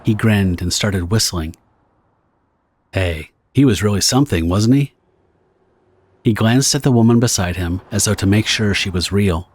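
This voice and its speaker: Low-voiced man narrating pulp horror. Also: normal speed, long pauses, no echo. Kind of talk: scripted audio drama narration of pulp horror fiction. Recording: guitar music behind the narration. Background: plucked guitar music.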